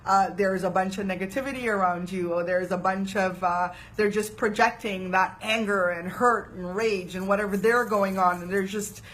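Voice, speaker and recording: A woman from Trinidad and Tobago giving a casual spiritual talk, chatty and animated, often checking in with "right?". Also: medium pitch, moderate pace, few pauses, low-quality recording. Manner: chatty, animated, frequent uh fillers and "right?" asides. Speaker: spiritual teacher.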